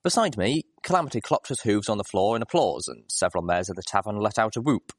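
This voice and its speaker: A man reading a story aloud as a scripted, expressive narration. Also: fairly low pitch, fast talker, dry recording, studio quality. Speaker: audiobook reader.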